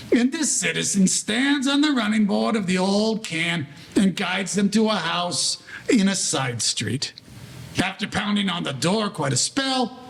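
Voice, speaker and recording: An actor voices a male narrator of a 1940s-style gangster audio play. His voice is high and full of creak. He tells the tale wryly in scripted present tense, over faint noise. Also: fairly bright tone, exaggerated voice.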